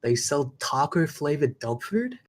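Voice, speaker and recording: A woman plays an office receptionist who loves dogs. She speaks in a gushing, expressive way, as read aloud from a film script. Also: deep voice, unhurried, full character voice.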